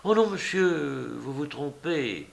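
Man playing a naive, flustered young man, pitched up. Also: expressive, takes it slowly, gravelly, full character voice. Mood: earnest, timid. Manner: hesitant, stammering, deferential. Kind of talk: audiobook character voice.

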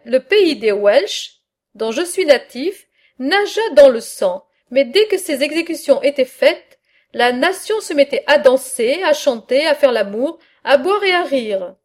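A bold, mocking old-world satirist speaks in a high, unhurried voice, as a woman reads his part. He weighs each phrase with measured earnestness. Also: lively intonation. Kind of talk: reading a philosophical dialogue aloud.

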